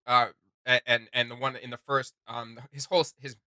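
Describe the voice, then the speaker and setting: A man hosting a reaction video, chatting casually in an excitable, slangy way. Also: medium pitch, a little fast, no echo, studio quality. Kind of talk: casual chat, reaction commentary. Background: music.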